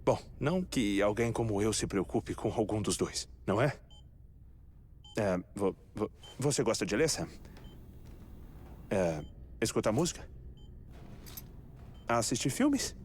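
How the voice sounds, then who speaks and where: A man's voice: on the low side, lively intonation, a little mellow, a little hiss or hum. An actor with a Brazilian accent playing an eccentric, melancholic, talkative man in a dubbed video game cutscene. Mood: eager, rambling. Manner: talkative, halting, musing asides. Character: eccentric, melancholic man living in twenty-one-minute death cycles, chatty. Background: music.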